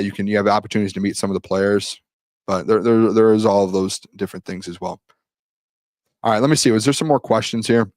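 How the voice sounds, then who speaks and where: Low-voiced man recapping football practice. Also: dry recording. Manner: rambling, lots of restarts, reads viewer questions aloud. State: losing his voice, clears throat. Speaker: sports show host. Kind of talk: solo live-stream recap, reading chat comments.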